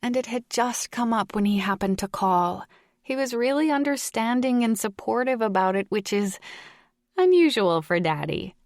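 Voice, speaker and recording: A woman's voice: fairly high pitch, lively intonation, moderate pace, darkish tone, no room sound, studio quality, voice pushed up. A woman voicing a dramatic, gushing young adult daughter in an audiobook, with an emphatic, youthful delivery. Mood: apologetic. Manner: whiny, enthusiastic, emphatic. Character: dramatic, gushing college-age daughter on the phone.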